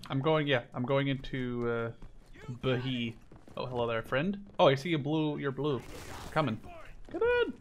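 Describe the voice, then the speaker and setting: A young man in his twenties talks casually through a shooter game. His manner is excitable and rambling, and he swears freely. Faint gunfire and clicks sit behind his mid-pitched voice. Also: normal speed, a little hiss or hum. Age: 20s. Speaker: gamer commentating while playing. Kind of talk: casual gaming chat with a friend. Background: video game gunfire, explosions, clicks.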